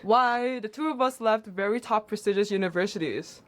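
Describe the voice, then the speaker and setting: A woman in her twenties from Singapore hosting her own channel, lively and giggly with quick bursts of speech. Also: medium pitch. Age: 20s. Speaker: YouTuber, host. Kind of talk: casual vlog interview.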